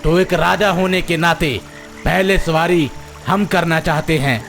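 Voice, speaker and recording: A man's voice: a little high, fairly even intonation, measured pace, creaky, fairly bright tone. An actor voicing a gullible, self-important frog king in a cartoon moral story, with a regal, curious manner. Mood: commanding. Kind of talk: animated Hindi moral story dialogue. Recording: music behind the voice.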